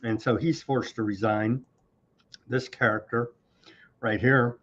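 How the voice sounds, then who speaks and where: A man's voice: medium pitch, fairly even intonation, slow, fairly bright tone, muffled recording. A man hosting a live online news commentary show, sarcastic and scornful as he rants through the headlines. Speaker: political commentary host.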